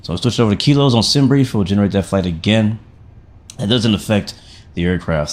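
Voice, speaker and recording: A man with a slightly raspy voice, not fully recovered from sickness, hosting a casual flight sim livestream in a chummy, slangy way and thinking aloud. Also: deep voice. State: getting over a sickness, voice not fully back. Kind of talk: casual stream commentary.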